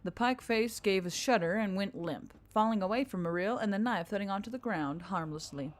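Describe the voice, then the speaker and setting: A woman's voice: medium pitch, brisk. A woman reading scripted fiction as a dramatic narrator. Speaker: story narrator.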